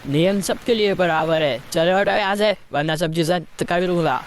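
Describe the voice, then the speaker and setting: A woman's voice: lowish voice, moderate pace, a little hiss or hum, pitched below the performer's own voice, lightly acted. A woman voicing a stern male policeman in a cartoon story, barking orders at a street vendor.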